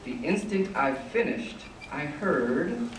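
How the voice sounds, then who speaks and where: Secretive old hermit played by a man, slightly high voice, theatrical boastful rhymed storytelling, distant roomy recording. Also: very animated, slow. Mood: nostalgic, wondering. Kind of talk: readers theater verse performance. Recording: roomy, distant, faint noise.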